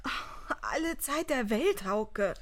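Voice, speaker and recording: Slow, higher-voiced reassuring woman. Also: animated. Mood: tender. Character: tender, reassuring woman soothing a troubled man. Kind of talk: intimate radio drama acting.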